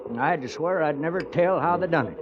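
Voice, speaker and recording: An actor playing a garrulous, stubborn old cook with an American Western accent and colorful frontier slang, in an old-time radio Western. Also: male voice, a little high, fast talker, lightly acted. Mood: folksy, genial. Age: older. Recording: muffled, noisy old radio recording.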